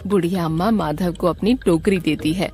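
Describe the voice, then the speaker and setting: A woman's voice: fairly low pitch, moderate pace, mellow, faint music behind. A woman reading scripted storybook narration for a children's cartoon.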